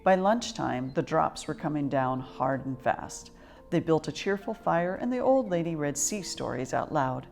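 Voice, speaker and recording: A woman reading a children's picture book aloud as a storyteller, with expressive, measured delivery. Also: low-pitched, gravelly.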